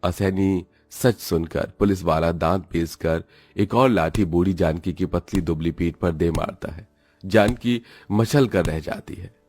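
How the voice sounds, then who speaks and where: A man's voice: low voice, unhurried, a little mellow. A man narrating a dramatic scripted story. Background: faint music.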